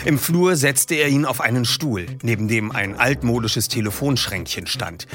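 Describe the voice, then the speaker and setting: A man reads a detective novel aloud, and his pitch rises and falls with each turn of the scene. Soft music plays faintly behind his narration. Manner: lively, dramatic narration. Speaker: host and reader.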